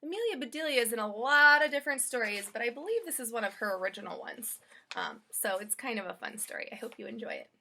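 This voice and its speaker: Female storybook reader, high register, highly expressive melody, talks straight to children. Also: quick pace, slight background noise. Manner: animated, addresses young listeners directly. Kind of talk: expressive children's read-aloud narration.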